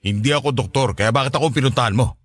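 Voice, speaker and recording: A man's voice: medium pitch, fast, natural voice. A man with a Filipino accent playing a cold, irritable middle-aged father, curt and dismissive, in a radio drama. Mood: annoyed, dismissive.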